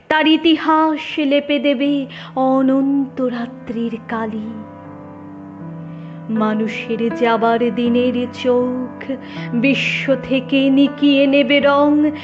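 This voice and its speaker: A woman reciting a poem in a dramatic, expressive style, with measured, deliberate phrasing and drawn-out pauses. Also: high-pitched. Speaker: poetry reciter. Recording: dull, band-limited sound under music. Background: instrumental music.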